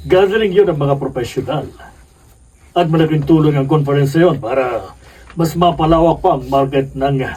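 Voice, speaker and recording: A man's voice: fairly high pitch, normal speed, a little mellow, husky, far from the mic, low-quality recording. An actor playing a defensive, pompous businessman husband in a radio drama, stern and self-justifying.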